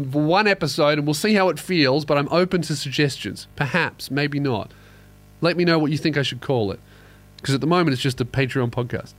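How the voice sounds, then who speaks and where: A man's voice: mid-range voice, a bit bright, dry recording. A young man hosting a casual comedy podcast, crude and sardonic, reading listener emails aloud. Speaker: podcast host.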